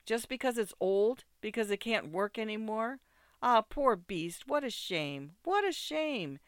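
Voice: mid-range voice, expressive, a little slow, a bit bright, smooth, no room sound, clean studio sound. A narrator voicing a distraught, headstrong young wife in a storybook, exclaiming, pleading and reproaching. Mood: indignant pity.

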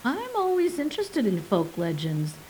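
A woman's voice: a little high, wildly swooping pitch, normal speed, darkish tone, a little hiss or hum, voice pushed up, rough recording. A woman voicing a kindly female geologist who asks polite, curious questions.

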